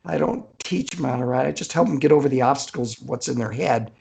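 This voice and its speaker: A man's voice: medium pitch, fast talker, creaky, darkish tone, slightly rough. An older man chatting casually as an author guest on a podcast, hesitant and rambling as he thinks aloud. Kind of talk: casual unscripted interview chat.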